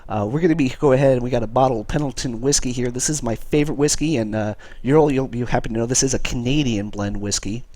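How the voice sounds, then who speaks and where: A man hosts a live game stream while fighting a cold, talking in a loose, chatty way full of fillers. His voice sits at a middle pitch, recorded close and dry with no room sound. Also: fast talker, hardly any pauses, dry recording. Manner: relaxed, chatty, many fillers. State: has a cold. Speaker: esports caster and stream host. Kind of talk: casual live game commentary.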